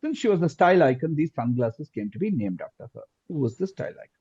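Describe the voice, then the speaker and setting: A man hosting a quiz live, reading questions aloud deliberately and repeating answers and phrases, over lo-fi online call audio. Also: on the high side, big pitch swings, dark tone, slightly rough, dry recording. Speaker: quizmaster.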